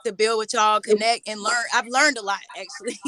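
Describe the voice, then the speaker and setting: A woman's voice: mid-range voice, fairly even intonation, normal speed, crowd noise. A young woman from Atlanta, earnest and gushing, thanking and chatting as a guest on a live stream. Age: young adult. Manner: earnest and gushing, laughs easily. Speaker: guest artist. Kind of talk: casual live-stream chat.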